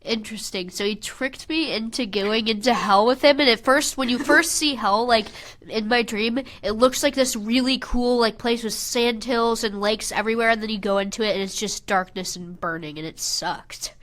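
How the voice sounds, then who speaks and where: A woman's voice: a little high, normal speed, fairly bright tone. A young woman co-hosting a podcast, casually recounting things in an everyday conversational way. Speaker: podcast co-host.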